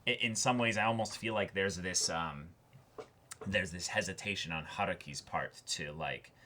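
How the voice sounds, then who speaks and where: A man co-hosting a casual discussion show, talking in an animated way, repeating phrases for emphasis and halting as he thinks aloud. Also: sits low, slight background noise. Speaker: co-host of an anime reaction show. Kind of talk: casual discussion of an anime episode.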